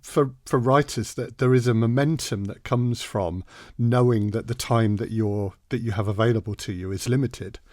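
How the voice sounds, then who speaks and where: A man's voice: mid-range voice, normal speed, few pauses, darkish tone, no room sound, clean studio sound. A man hosting a podcast, asking questions in a conversational interview style.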